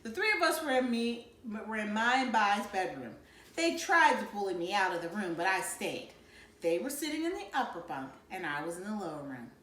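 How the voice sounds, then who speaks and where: Animated woman reading in an echoey room. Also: medium pitch, a little fast. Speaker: read-aloud narrator. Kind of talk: expressive storybook narration.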